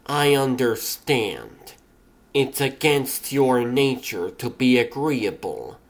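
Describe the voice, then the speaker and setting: A man voicing a cool, clinical female scientist in a comic dub, precise, polite and detached. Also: medium pitch. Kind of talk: comic dub character acting.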